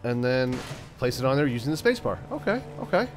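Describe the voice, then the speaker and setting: A man hosting a gaming channel, chatting playfully through a let's-play, stuffed up from a cold and now and then yelling out excited bursts. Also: mid-range voice, brisk, music in the background. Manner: playful, talks to himself, yells bursts like "send it". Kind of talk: casual let's-play commentary.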